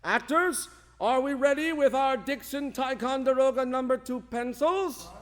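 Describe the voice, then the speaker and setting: Theatrical high-pitched man hosting a show. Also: measured pace, a bit bright. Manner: grandiose, playful, formal introductions. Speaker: host of a live radio drama show.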